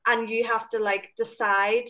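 A woman's voice: on the high side, flat delivery, unhurried, sharp tone, poor audio. Chatty Northern Irish young woman. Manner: chatty, filler-heavy, enthusiastic. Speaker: panel guest. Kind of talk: casual video call chat. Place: home room indoors. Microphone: laptop webcam on video call.

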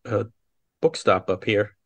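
A man's voice: fairly low pitch, expressive, takes it slowly, dry recording. A man hosting a radio show, chatting in an informal, hesitant way. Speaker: radio host, interviewer. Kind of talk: casual radio chat.

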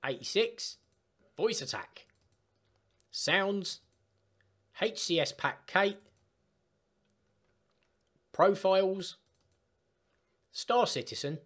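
A man chatting casually through a step-by-step software tutorial for gamers. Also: on the high side, husky. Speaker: gaming tutorial host. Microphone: desktop microphone, voice-over of screen capture.

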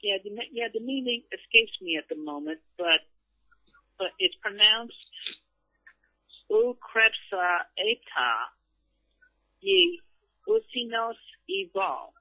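Halting man on a muffled phone line. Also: sits high, very animated, no echo. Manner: halting, terse replies. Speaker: interviewee, comic creator. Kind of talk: hesitant phone conversation.

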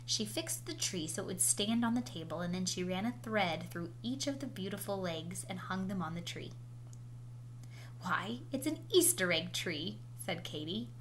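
A woman reading a children's picture book aloud in an expressive storytelling manner, lightly acting out the dialogue. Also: mid-range voice, normal speed. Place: indoors at home, small room. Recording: noisy home recording with steady hum.

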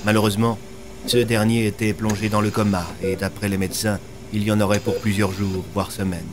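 A young man narrating scripted audio fiction as a storyteller, with soft music behind him. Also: fairly low pitch, little pitch movement, brisk. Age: young adult.

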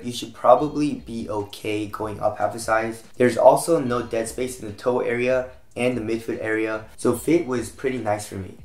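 A young American man with a slightly raspy voice, getting over a cold, giving a casual review to camera as a channel host. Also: medium pitch. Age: young adult. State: recovering from a cold. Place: small home room, indoors, at a desk. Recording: roomy echo.

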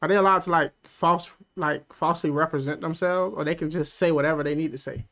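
A man's voice: a little high, fairly even intonation, fairly quick, fairly bright tone. A man in his thirties doing casual reaction commentary, loose and slangy with laughing, swearing asides, on a headset mic in a small room. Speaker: reaction streamer.

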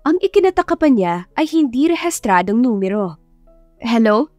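A woman's voice: high-pitched, very animated, a little fast, a little mellow, no room sound. A woman reading scripted romance narration as an audiobook storyteller. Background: music.

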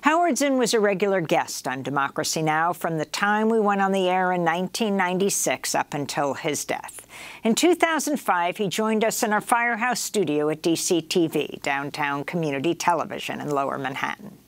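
A woman host narrating an introduction in a crisp, measured broadcast style. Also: on the low side, animated. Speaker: news show host.

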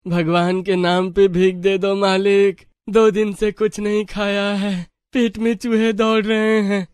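A man's voice: high-pitched, flat delivery, slow, a little mellow, smooth, no echo, clean studio sound. A man voicing a hungry, poor beggar in a cartoon moral story, speaking in a plaintive, begging way. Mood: pleading. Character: pleading hungry poor male beggar, god in disguise. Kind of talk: animated Hindi moral story acting.

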